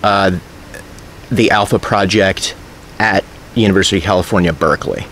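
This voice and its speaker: A man's voice: low voice, moderate pace, a bit bright. An American man in his thirties, talking casually and a little hesitantly as a caller on the phone, in an agreeable way. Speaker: caller making a records request. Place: outdoors in front of leafy greenery. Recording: faint outdoor background noise.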